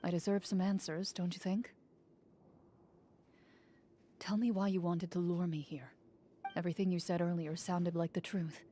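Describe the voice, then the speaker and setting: A woman voicing a calm, composed young female duelist in a video game, speaking in a cool, measured and direct way. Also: on the low side, a little hiss or hum, natural voice.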